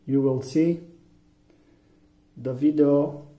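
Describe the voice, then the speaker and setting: A man from Romania with a Romanian accent, English not his first language, giving a halting phone tutorial with many fillers. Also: a little high, fairly even intonation, warm tone. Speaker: tech tutorial maker. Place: room indoors, phone on a table. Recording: echoey, dull lo-fi room.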